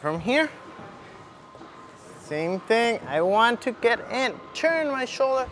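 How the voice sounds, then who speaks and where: A man's voice: extremely high pitch, very animated, slow pace, crowd noise. A young man working as a kickboxing coach, giving step-by-step instruction while demonstrating punches. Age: young adult. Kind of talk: instructional demonstration with short cues. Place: large indoor MMA gym. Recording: clearly audible background ambience noise.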